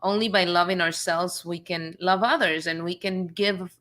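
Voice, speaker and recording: A woman hosting a live interview, affirming her guest warmly and laughing easily. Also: fairly low pitch, fairly even intonation, moderate pace. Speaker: host.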